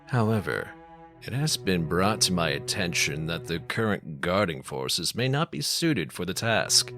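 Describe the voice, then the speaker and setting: A man's voice: low voice, very animated, a little slow, pushed and bright, soft background music, exaggerated voice. A man playing a pompous, fatherly cult leader in an audio drama, speaking formally like a preacher. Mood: solemn, persuasive. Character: menacing male cult leader, smooth fatherly zealot.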